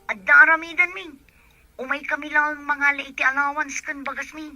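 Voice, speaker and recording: A young woman acts out a whiny student in a homemade family drama, with an Ilocano accent. The voice is high and piercingly bright, recorded dry, pleading reluctantly. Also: steady tone, fairly quick, no echo, faint music behind. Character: reluctant student arguing with mother. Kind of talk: amateur family drama acting.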